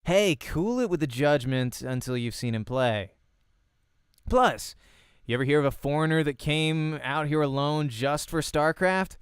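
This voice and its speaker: A man's voice: fairly high pitch, unhurried, smooth, no room sound, clean studio sound, lightly acted. A man playing a confident young pro gamer in a visual novel, with an easy, friendly, teasing manner. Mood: upbeat, defending.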